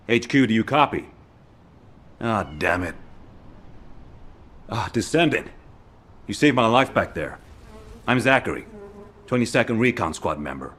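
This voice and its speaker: A man's voice: lowish voice, fairly bright tone. A man playing a battle-worn, frank recon soldier in a video game, speaking in an earnest, blunt way. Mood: urgent, then agitated, incredulous. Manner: earnest, blunt, mildly coarse.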